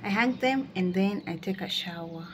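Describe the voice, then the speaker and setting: A woman's voice: medium pitch, moderate pace, background noise. A young woman with a Kenyan accent, casually vlogging about her day at home and mixing in Swahili phrases. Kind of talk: casual vlog narration. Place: home kitchen.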